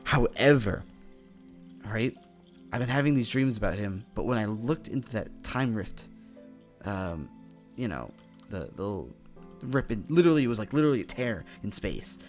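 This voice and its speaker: A man playing a confident young demon mage in an improvised Minecraft fantasy roleplay, speaking in a halting, conversational way and often checking for agreement. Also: fairly low pitch, sing-song. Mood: earnest, explaining. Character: confident young male demon mage, casual, secretive, determined. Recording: voice over background music.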